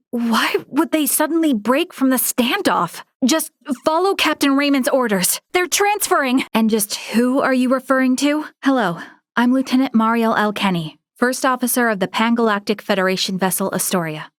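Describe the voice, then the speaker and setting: A woman voicing an earnest, dutiful young female starship first officer in a video game, with formal, clearly articulated military speech. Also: fairly high pitch, animated, normal speed, dry recording, studio quality, natural voice. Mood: alarmed, urgent. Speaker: game character voice actor.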